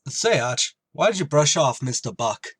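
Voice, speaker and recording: An actor playing a slow-witted tavern waiter with a dopey, deadpan drawl in an old-time radio comedy. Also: mid-range voice, slightly rough, no echo, clean studio sound.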